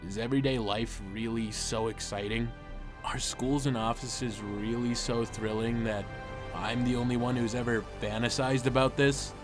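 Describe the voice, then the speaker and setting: Self-deprecating teen hero-narrator played by a man for a comic dub; medium pitch, sardonic, confiding in the listener. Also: flat delivery, normal speed, over music, natural voice. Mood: wry. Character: wry, self-deprecating ordinary teenage boy turned superhero.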